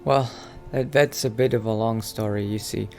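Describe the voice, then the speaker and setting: A man playing an anxious young mage apprentice in a video game roleplay, talking haltingly and repeating himself. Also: fairly low pitch, steady tone, a little mellow, soft background music, lightly acted. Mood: polite, hesitant. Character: anxious young male mage apprentice fearing he is becoming a vampire.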